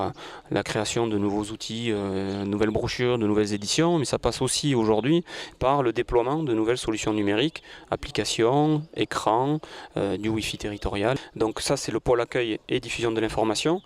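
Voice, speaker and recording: A man answering in an interview as a director, explaining with hesitations and self-corrections. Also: on the low side. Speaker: tourist office director.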